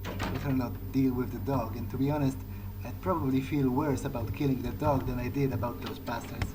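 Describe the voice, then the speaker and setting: A man speaking in character as a vampire in a game playthrough, thinking aloud in a halting, reflective way. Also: medium pitch, fairly even intonation, noisy background. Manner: halting, musing to himself. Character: male vampire player character, reflective, morally uneasy. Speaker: gameplay video host speaking in character.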